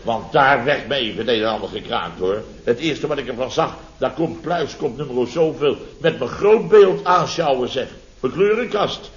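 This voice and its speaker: A man's voice: mid-range voice, normal speed, few pauses, echoey room, close to an everyday voice. A man playing a blustering, sarcastic middle-aged contractor boss in a vintage radio comedy, repeating himself indignantly. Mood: sarcastic outrage. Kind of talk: 1970s Dutch radio comedy acting. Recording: dull vintage radio recording.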